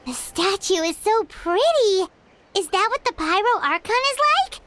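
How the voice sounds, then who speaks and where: A woman voicing a small, chatty fairy-like girl companion in a video game, with a squeaky childlike voice and exclamatory delivery. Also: very animated, normal speed, fairly bright tone, full character voice. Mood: cheerful. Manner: exclamatory, bubbly. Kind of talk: English video game voice acting. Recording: game music behind the voice. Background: game music.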